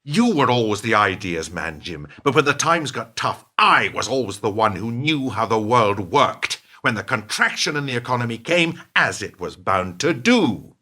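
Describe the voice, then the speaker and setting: A man's voice: mid-range voice, sing-song, normal speed, hardly any pauses, bright, forceful tone. An actor playing a ruthless, self-justifying middle-aged businessman in a radio play, speechifying with smug emphasis. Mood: cold, defiant. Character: ruthless, self-justifying businessman betraying his lifelong partner.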